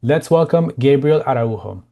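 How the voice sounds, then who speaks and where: A man's voice: mid-range voice, expressive, normal speed. A man acting as emcee, formally introducing the next speaker at a club meeting. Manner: formal announcing. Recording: dull audio from far off, with guitar music.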